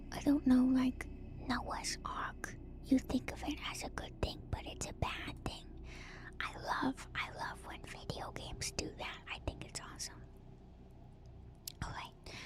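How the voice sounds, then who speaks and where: Slow whispering woman, ASMR style. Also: a little high. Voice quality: soft, breathy whisper. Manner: hushed, intimate, rambling asides to viewers. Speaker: streamer host. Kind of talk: ASMR lore reading with casual commentary. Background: music.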